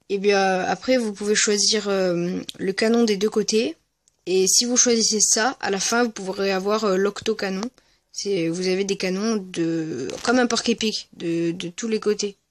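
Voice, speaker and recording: Casual gameplay commentator with a mid-to-high voice, hesitant and rambling delivery, very dry recording. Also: dry recording. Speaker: gaming video creator. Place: at home, voice-over on mobile gameplay recording.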